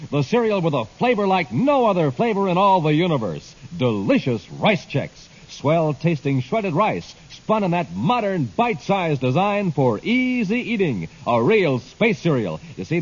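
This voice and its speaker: A man's voice: a little high, slightly rough. A man announcing and narrating in an emphatic, dramatic fifties radio style. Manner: emphatic, theatrical. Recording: noisy muffled old radio recording.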